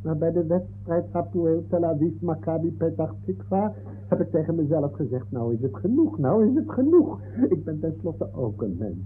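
A man's voice: a little high, animated, moderate pace, a little hiss or hum, lightly acted. A man playing an aggrieved, pedantic football referee testifying formally in a comic radio play. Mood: indignant, fussy. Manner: indignant, formal, fussily consulting notes. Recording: dull 1960s radio recording.

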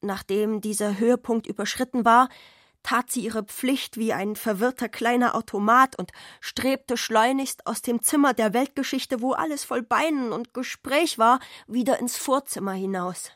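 A woman's voice: a little high, normal speed, a bit bright, dry recording, studio quality. A woman reading literary narration as a narrator in a radio play.

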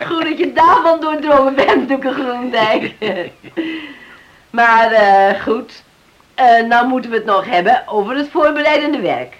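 A woman's voice: high-pitched, unhurried, highs cut off, slight background noise. A woman acting in a Dutch radio drama as a shrewd, self-assured farm owner with a businesslike manner. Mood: firm. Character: shrewd, firm widowed farm owner driving a bargain.

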